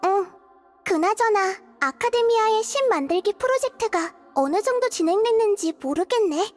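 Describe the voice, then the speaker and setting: Game role: a wise, gentle childlike goddess, tiny very high voice, reflective and earnest, music behind. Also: female voice, animated, normal speed. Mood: resolved, thoughtful. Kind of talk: video game character dialogue.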